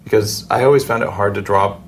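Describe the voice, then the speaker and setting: A man's voice: fairly low pitch, fairly even intonation, brisk, slight background noise. A middle-aged man hosting a podcast interview, talking conversationally and in a reflective, personal way. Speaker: podcast host interviewing a guest.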